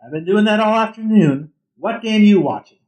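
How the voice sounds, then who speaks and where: A blunt umpire in his thirties in an audio drama. The man sounds fed up and sarcastic, with a high, animated voice. The recording has a clear room echo. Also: moderate pace. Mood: dry, sarcastic. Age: 30s. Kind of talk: naturalistic audio drama acting. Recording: roomy, echoing sound.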